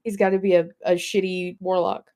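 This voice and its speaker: A woman's voice: lowish voice, flat delivery, brisk, mellow. A woman hosting a fan podcast in casual discussion, talking informally with the odd swear word. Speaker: podcast host.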